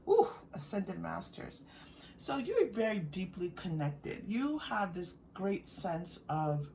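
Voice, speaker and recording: A woman with a mid-range voice gives an unscripted tarot reading to her viewers. She is gentle and reassuring, searching for words and repeating them as she goes. The mic sits at some distance, with faint noise behind her. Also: medium pitch. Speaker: tarot reader.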